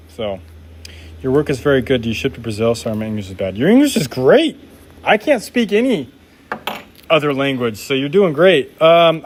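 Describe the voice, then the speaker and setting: A man making knives during a live stream, chatting casually and reading viewer comments aloud in an upbeat, laid-back way. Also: medium pitch.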